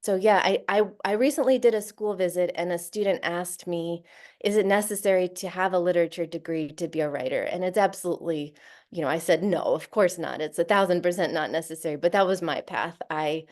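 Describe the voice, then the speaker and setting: A woman's voice: lowish voice, brisk, a little mellow. A woman author from Florida talking in an interview, thoughtful, with many fillers and light laughs. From Central Florida, USA. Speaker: author and guest. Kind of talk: casual interview talk.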